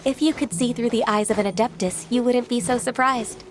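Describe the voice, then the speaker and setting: A woman voicing a gentle, dutiful young half-immortal woman in a video game, speaking in a measured, polite and earnest way. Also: a little high, steady tone, noisy background, natural voice. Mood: calm, earnest. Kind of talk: English video game voice acting. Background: game music.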